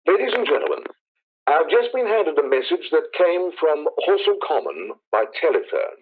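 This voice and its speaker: Man playing animated, formal old-time radio announcer. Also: on the high side, moderate pace, slight background noise. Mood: grave, urgent. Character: formal male studio news announcer, urgent but composed. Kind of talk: 1930s radio bulletin acting. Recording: thin, phone-like vintage radio sound.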